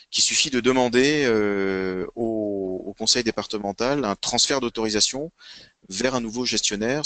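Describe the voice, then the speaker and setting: A man with a fairly low, bright voice speaks as an expert at an online conference. He explains methodically in formal vocabulary, and the sound is close and dry. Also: no echo. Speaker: guest expert in local social services administration. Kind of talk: explanatory web conference presentation and answers.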